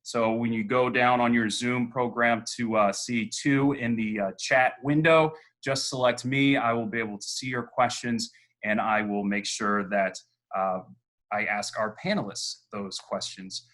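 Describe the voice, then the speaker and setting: A man's voice: mid-range voice, fairly even intonation, measured pace, fairly bright tone. A man moderating an online panel, introducing guests and asking questions with occasional fillers. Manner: friendly, guiding questions with fillers. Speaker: host. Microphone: video call.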